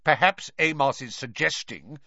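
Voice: medium pitch, moderate pace, vocal fry, pushed and bright, no echo, lo-fi sound, voice pushed up. Narrator as a barking, imperious male official. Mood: cold anger. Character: stern, proud older male ministry official, coldly indignant.

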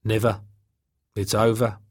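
A man's voice: fairly low pitch, little pitch movement, a little slow, a little mellow, no room sound, studio quality. Man voicing a weary, blunt, bitter stranded spaceman. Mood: bleak, resigned. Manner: blunt, resigned. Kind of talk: audiobook character dialogue.

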